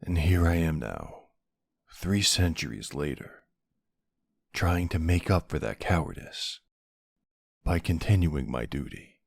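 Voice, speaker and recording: A man playing a brooding, centuries-old vampire hunter of his own kind in an ASMR roleplay, speaking in a gravelly, deliberate, halting way. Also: rumbling low, lively intonation, darkish tone, no room sound, full character voice. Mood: somber, regretful. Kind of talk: ASMR audio roleplay, scripted dramatic monologue.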